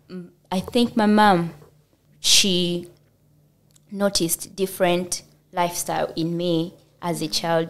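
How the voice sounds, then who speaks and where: A woman from Uganda with a Ugandan accent, telling her life story as a podcast guest in a hesitant way with many fillers. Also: fairly low pitch. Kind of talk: personal storytelling in an interview.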